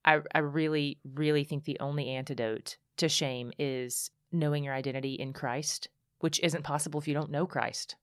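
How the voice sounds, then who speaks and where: A woman speaking candidly as a podcast guest, telling her story in animated bursts with frequent restarts. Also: low-pitched, normal speed, clean tone, no echo, studio quality. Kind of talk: casual conversation.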